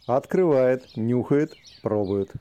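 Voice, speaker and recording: A man's voice: mid-range voice, lively intonation, takes it slowly, dark tone, lo-fi sound. A man narrating an audio play, reading stage directions.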